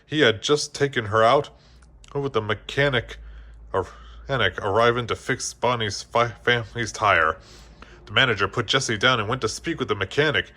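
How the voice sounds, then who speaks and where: Bright-voiced man reading a storybook. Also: mid-range voice, moderate pace. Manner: occasionally stumbles over words and rereads. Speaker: storybook reader. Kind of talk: scripted read-aloud narration.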